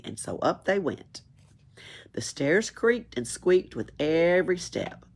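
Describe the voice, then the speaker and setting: A woman with a husky voice reads a children's story aloud in a dramatic, emphatic way. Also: low-pitched. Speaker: library story reader. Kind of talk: expressive storybook read-aloud.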